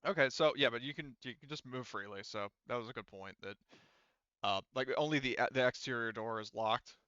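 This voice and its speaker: A man's voice: mid-range voice, fairly quick, sharp tone, clear, resonant tone, no room sound, lo-fi sound. A man running a tabletop roleplaying game as game master, explaining casually in a rambling, self-correcting way.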